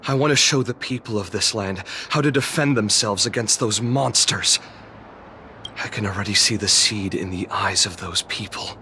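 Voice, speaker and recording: An actor playing a weary, idealistic resistance fighter in a video game, speaking earnestly and with measured resolve. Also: male voice, fairly low pitch, pushed and bright, a little hiss or hum. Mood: calm resolve. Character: stranded male warrior, weary, steadfast, idealistic resistance fighter. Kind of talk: dramatic video game voice acting.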